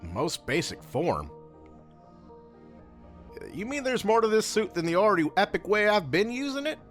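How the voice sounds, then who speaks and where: A man playing a cocky young masked rider hero who is casual, sarcastic and quick to swear. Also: high voice, sing-song, faint music behind. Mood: bewildered. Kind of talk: fan audio drama.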